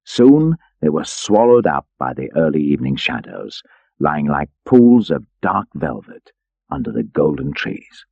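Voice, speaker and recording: A man reading an adventure story as an audiobook narrator, in an expressive, theatrical storytelling manner. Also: a bass voice, a little slow, vocal fry, dry recording, highs cut off.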